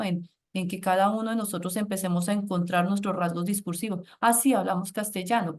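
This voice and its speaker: Profesora colombiana que habla con animación. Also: female voice, lowish voice. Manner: animated, with frequent rhetorical tags and restarts. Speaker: university professor. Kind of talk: leading an online class discussion.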